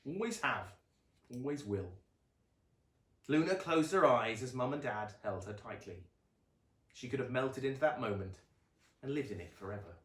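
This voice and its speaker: A man reading children's fiction aloud as a dramatic, expressive narrator with a deliberate delivery. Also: mid-range voice, roomy sound.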